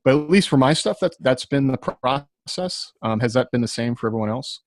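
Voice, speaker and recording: A man chatting casually as an author on a podcast panel, halting and self-deprecating. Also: medium pitch, lively intonation, no room sound, studio quality. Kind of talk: casual unscripted chat. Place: remote group video call.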